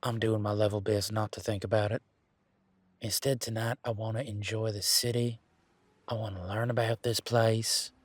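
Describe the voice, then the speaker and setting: A man playing a laid-back, wry werewolf in an intimate one-sided audio roleplay, talking in a casual, folksy, teasing way with a husky voice. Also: lowish voice, little pitch movement, moderate pace, no echo, close to an everyday voice. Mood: relaxed.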